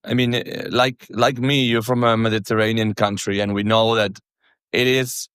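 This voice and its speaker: A man's voice: on the low side, little pitch movement, moderate pace, a bit bright, smooth, dry recording, studio quality. A man from Catalonia with a Catalan accent, hosting a podcast in a chatty, friendly way and asking open questions. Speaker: podcast host. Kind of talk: casual interview chat.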